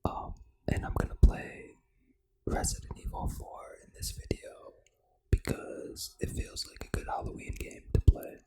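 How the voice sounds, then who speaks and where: Breathy whispering ASMR gaming host. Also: measured pace. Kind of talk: whispered ASMR, reading out facts.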